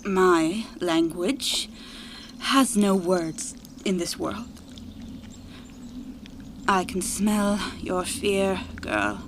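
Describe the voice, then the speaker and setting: A woman voicing an eerie inhuman entity in a human body for a horror audio drama, speaking in halting, menacing fragments. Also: fairly low pitch, lively intonation, background noise. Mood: taunting, menacing. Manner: halting, disjointed, menacing speech.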